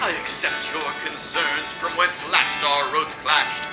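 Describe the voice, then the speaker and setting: An actor playing a pompous former bard turned train conductor who speaks in grandiose rhyming couplets. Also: male voice, on the high side, fairly even intonation, measured pace, natural voice. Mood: theatrical. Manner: grandiose, theatrical. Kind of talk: comedy audio drama acting. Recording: roomy echo with loud music.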